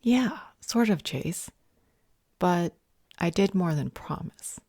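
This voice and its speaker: A woman acting a composed, cryptic vampire healer in an audio roleplay drama, speaking in a measured, polite and dryly sarcastic way. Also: fairly low pitch, expressive, dark tone, dry recording, clean studio sound, exaggerated voice. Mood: evasive, dry.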